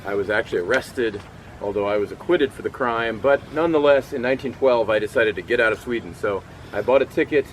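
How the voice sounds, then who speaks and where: A middle-aged man giving a first-person historical portrayal outdoors, telling his story with frequent pauses and fillers. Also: medium pitch, a little hiss or hum, close to an everyday voice, low-quality recording. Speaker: historical portrayer at a cemetery event. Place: outdoors in a cemetery. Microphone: far camera.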